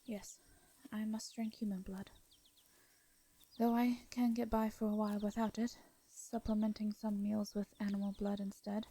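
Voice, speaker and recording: Woman as a soft, mellow English vampire lady. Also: mid-range voice, little pitch movement, moderate pace, natural voice. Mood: flustered, earnest. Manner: hesitant, stammering, formal old-fashioned speech. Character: gentle, anxious, centuries-old female vampire. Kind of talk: intimate fantasy audio roleplay.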